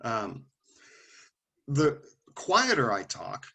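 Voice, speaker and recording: A man teaching a class in a loose, conversational way, asking questions and calling on students. His voice sits at an ordinary middle pitch, and he thinks aloud with restarts and small asides. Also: wildly swooping pitch, very slow, gravelly, bright, forceful tone. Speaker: lecturer.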